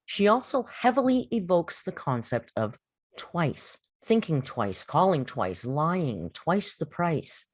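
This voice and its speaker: A woman hosting a mock-serious poetry critique, explaining in a deliberate, theatrical, scholarly manner. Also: deep voice, very animated, dry recording. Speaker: host giving a reading and critique. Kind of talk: mock-serious dramatic poetry reading and literary commentary.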